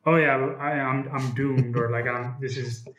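A young man from Ethiopia talks as an interview guest, hesitant and full of restarts. His voice has a mid-range pitch. The recording picks up a roomy echo around him. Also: fairly even intonation, measured pace, fairly bright tone. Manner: hesitant, many fillers and restarts. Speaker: guest, comic book publisher. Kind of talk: casual interview.

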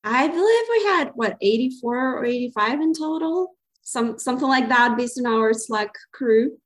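A woman in her thirties from Ukraine, giving measured answers as a podcast guest with some hesitations. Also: on the high side, animated. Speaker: guest, club co-president. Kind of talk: interview answers.

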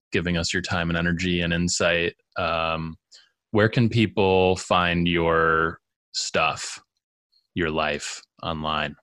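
A man's voice: deep voice, fairly bright tone, no echo. A man hosting a podcast interview, who laughs often. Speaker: podcast host.

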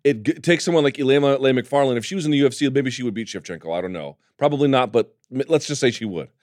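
A man's voice: mid-range voice, quick pace, no echo. Guy hosting a sports talk show, emphatic, keeps saying dude, dry close mic. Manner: animated, emphatic, calls co-host dude. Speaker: podcast host. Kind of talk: casual MMA talk.

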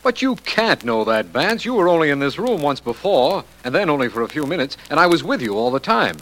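An actor playing an earnest district attorney who narrates a detective mystery in formal, old radio drama style. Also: male voice, on the high side, sing-song, fairly quick, a bit bright. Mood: incredulous. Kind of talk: 1940s-50s radio drama acting.

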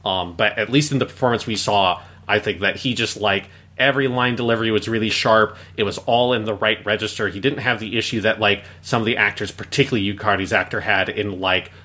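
A man's voice: on the low side, steady tone, a little fast, few pauses, sharp tone. A man chatting casually as a podcast host, rambling through his opinions and leaning often on "like". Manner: rambling, frequent "like". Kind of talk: casual review chat.

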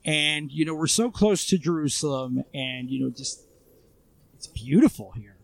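American man in his thirties, podcast guest, eager and chatty, voice on the higher side. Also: animated, moderate pace, creaky. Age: 30s. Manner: chatty, enthusiastic, heavy use of "you know". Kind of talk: casual interview chat. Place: outdoors in a residential neighborhood.

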